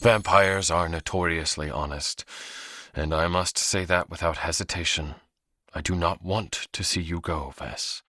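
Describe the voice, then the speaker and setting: An actor playing a proud, theatrical vampire in a video game, speaking in a pompous, formal and dramatic way. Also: male voice, low-pitched, steady tone, measured pace, pushed and bright, dry recording, heavily acted. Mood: solemn, fond.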